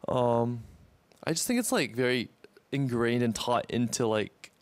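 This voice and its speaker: Man, casual co-host, hesitant, rambling, medium pitch, dry close recording. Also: expressive, a little slow, no room sound. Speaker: co-host and musician in a group. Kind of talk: casual chat.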